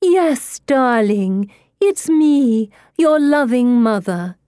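A woman's voice: lively intonation, mellow, dry recording, clean studio sound. A woman voicing a channelled spirit mother in a storybook, sweet, gushing and coddling. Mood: gushing, tender. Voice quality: sweet, high. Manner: coddling, cooing, drawn-out. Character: sugary, gushing ghost mother channelled by a medium.

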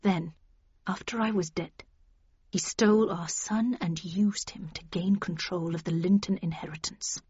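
Narrator playing a wronged woman, seething, hushed, breathy, audiobook character, muffled recording. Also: lowish voice, fairly even intonation, unhurried, dry recording. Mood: hurt, seething. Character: wronged, quietly raging good-looking woman.

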